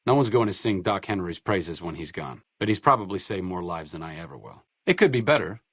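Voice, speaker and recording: A man's voice: sits low, expressive, brisk, breathy edge, no echo. A man playing a bookish, self-deprecating doctor and researcher in a video game, speaking in a dry, articulate, sardonic way. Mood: earnest, reflective. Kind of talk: video game companion dialogue.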